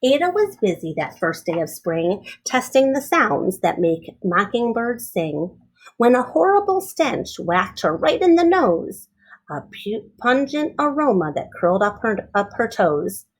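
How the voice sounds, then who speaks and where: A woman with a slightly high, husky voice reads a picture book aloud. Her pitch moves a great deal as she goes. She sounds like a teacher, stepping out of the text to explain and ask the children questions. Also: a little slow, poor audio. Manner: animated, explains and asks questions of young listeners. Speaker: teacher reading aloud to pupils.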